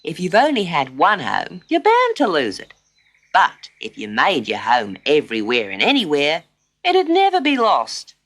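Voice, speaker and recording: A woman voicing a gentle, motherly grey kangaroo in a children's storybook, chatty, kindly and emphatic. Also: low-pitched, huge pitch swings, normal speed, full character voice. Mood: mildly chiding.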